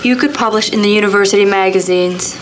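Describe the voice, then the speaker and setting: An actress playing an encouraging, muse-like voice that prods a writer, lyrical and persuasive, in radio-play style. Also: female voice, medium pitch, quick pace, slight background noise, lightly acted, rough recording. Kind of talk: radio-play dramatic dialogue.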